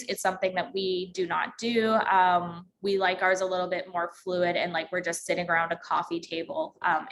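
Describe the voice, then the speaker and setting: A woman with a slight central Alberta accent teaches in a relaxed, informal way. She talks quickly and fills her pauses with plenty of ums. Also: lowish voice, poor audio. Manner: fast talker, many ums. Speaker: podcast host giving a workshop.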